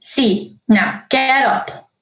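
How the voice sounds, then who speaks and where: A woman playing a brisk, impatient, nagging mother in an audio drama. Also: a little high, a bit bright, reverberant, rough recording.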